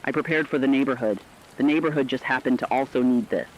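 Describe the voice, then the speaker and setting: A man narrating scripted human-interest stories for a radio broadcast in a solemn, measured way. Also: mid-range voice, a little hiss or hum. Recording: dull lo-fi radio sound.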